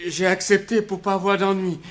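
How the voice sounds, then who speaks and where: A man's voice: high voice, level tone, a little fast, natural voice. A man playing a desperate, guilt-stricken religious thief, pleading and lamenting in a French radio drama. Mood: shaken confession.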